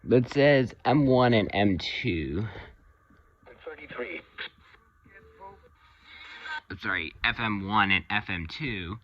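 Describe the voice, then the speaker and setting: A man giving an unscripted, casual video review of his hobby collection, rambling a little and pausing mid-sentence. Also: fairly low pitch, animated, muffled recording, crowd noise. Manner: halting, rambling delivery with pauses mid-sentence.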